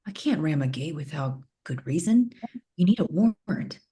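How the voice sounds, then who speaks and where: A woman's voice: sits low, lively intonation, moderate pace, warm tone, husky, heavily acted. A woman playing a stubborn, by-the-book female detective in a screenplay table read, firm and dismissive.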